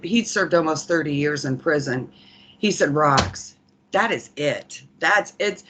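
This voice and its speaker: A woman's voice: fairly low pitch, a bit bright. A woman giving an earnest committee report as a program official, reflective and emphatic, repeating phrases for stress. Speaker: corrections program official presenting.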